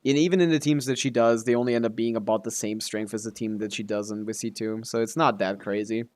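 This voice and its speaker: A man's voice: fairly low pitch, fairly even intonation, fast talker, a little mellow. A man giving casual, offhand live stream commentary on a game, thinking aloud as he answers chat and swearing casually.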